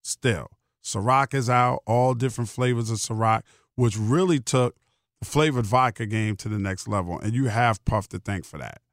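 A man's voice: mid-range voice, moderate pace, no echo, clean studio sound. A middle-aged American man hosting a solo podcast, talking casually in a rambling, emphatic way with repeated phrases and salty slang. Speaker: podcast host.

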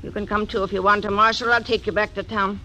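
An actress voicing a grim, hard frontier widow who gives cold, threatening orders, in 1940s radio acting style. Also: female voice, medium pitch, little pitch movement, quick pace, natural voice. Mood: cold, commanding. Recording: noisy old radio broadcast.

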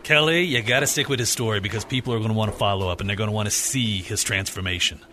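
Brisk, sharp-toned newsroom boss. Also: male voice, on the low side, faint music behind. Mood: pushy, eager. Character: brisk newsroom boss chasing a crowd-pleasing story. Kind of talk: scripted radio drama acting.

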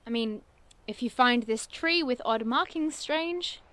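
A woman's voice: fairly high pitch, measured pace, fairly bright tone. A woman playing a curious, bookish cryptid investigator in an audio drama, earnest and wordy with dry playfulness. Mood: thoughtful, intrigued. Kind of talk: audio drama acting, naturalistic dialogue.

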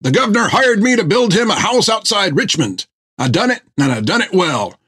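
A man doing a proud rough ship's carpenter. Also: sits high, very animated, moderate pace, a bit bright, no room sound, studio quality, voice pushed up, exaggerated voice. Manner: rustic, stiff and eager.

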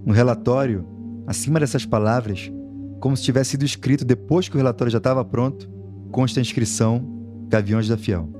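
A man tells a true-crime story in a calm, explanatory way, pitched in the middle for a man. Music runs clearly beneath his narration. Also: a little fast. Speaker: podcast reporter and co-narrator.

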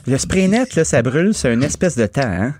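A man with a Quebec French accent hosting a casual morning radio show, joking and exclaiming in animated chat. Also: medium pitch, normal speed. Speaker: radio host.

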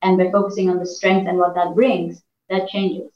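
A woman's voice: on the low side, little pitch movement, moderate pace, a little hiss or hum. A woman from Iran, a negotiation trainer and coach, giving earnest interview answers with frequent fillers and restarts. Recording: echoey, dull lo-fi room sound.

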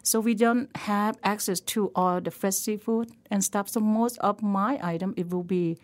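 A middle-aged woman from Thailand with a Thai accent, a chef talking casually in an interview, pausing to search for words and laughing often. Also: medium pitch, steady tone. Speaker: chef and restaurant owner.

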